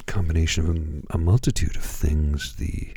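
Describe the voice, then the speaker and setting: A man with an extremely low, dark voice speaks very slowly as a radio host. His voice is hoarse and husky, and he pauses often with ums. The sound is dry and close. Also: no room sound. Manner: hesitant, many ums and pauses. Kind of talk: slow, calm radio presentation.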